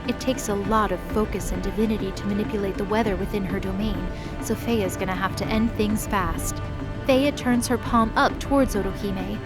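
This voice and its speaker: Woman narrating a fantasy drama over music. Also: medium pitch. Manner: steady, measured storytelling.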